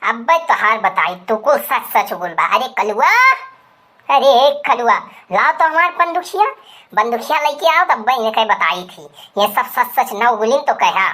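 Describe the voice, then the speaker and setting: A woman's voice: a little high, animated, a little slow, sharp tone, full character voice. A woman voicing a dramatic, scolding village woman with an Awadhi accent in a rural cartoon comedy. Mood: indignant, angry. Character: loud village women, scandalized gossips and an angry betrayed wife.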